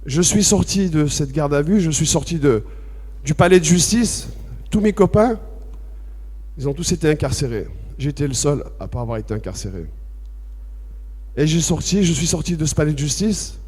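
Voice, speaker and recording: A man from France giving a personal testimony at a public debate, halting and repetitive at first, then impassioned and emphatic. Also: fairly high pitch, animated, a little mellow, slightly rough. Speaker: association president speaking at a public debate. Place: debate hall with audience. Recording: long reverb of a large hall.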